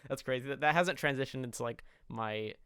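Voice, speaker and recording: Fast-talking man in his twenties. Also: mid-range voice, pushed and bright, smooth, no echo, studio quality. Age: 20s. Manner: quick, swears, laughs a lot. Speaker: podcast co-host. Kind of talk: casual chat.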